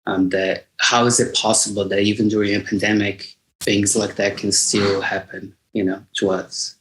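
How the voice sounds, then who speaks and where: A man's voice: on the low side, flat delivery, moderate pace, a bit bright, low-quality recording. A middle-aged man from Bahia with a Brazilian accent, a native Portuguese speaker, talking reflectively as an interview guest and searching for his words. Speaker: guest singer-songwriter. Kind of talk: conversational interview.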